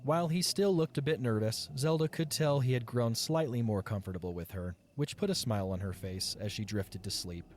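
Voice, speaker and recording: A man's voice: mid-range voice, fairly quick, hardly any pauses, a little mellow, slight background noise. A man narrating a scripted fantasy romance story as the narrator of an audio drama.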